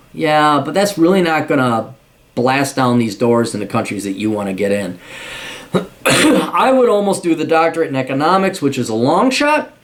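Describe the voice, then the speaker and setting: Middle-aged guy, blunt and sweary, reads a letter then gives straight advice. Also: mid-range voice. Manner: blunt and profane, with incredulous outbursts. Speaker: podcast host giving advice. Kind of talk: reading a listener letter and answering it.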